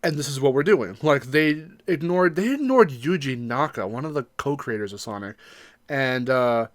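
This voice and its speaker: A man in a casual podcast discussion, speaking at an average pitch. He makes his points emphatically and with firm opinions. The sound is dry, with no room to it. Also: expressive, normal speed, no room sound. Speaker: podcast co-host.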